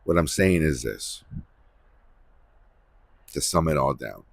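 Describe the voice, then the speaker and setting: A man with a husky voice giving a rambling, unscripted spiritual monologue, emphatically repeating phrases for stress as he hosts. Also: very deep voice, expressive, takes it slowly, dry recording. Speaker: host of a spiritual series.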